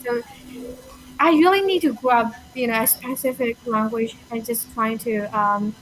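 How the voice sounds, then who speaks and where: Young woman, Burmese accent, casual chatty talk, fillers and restarts, fairly high voice. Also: a little slow, a bit bright, slight background noise, rough recording. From Myanmar. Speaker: language learner guest. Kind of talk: casual conversation.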